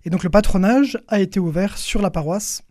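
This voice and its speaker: A man's voice: high-pitched, fairly quick, darkish tone, dry recording, studio quality. A young man, a priest being interviewed, explains things in hesitant phrases, repeating words as he searches for the next. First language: French. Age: young adult.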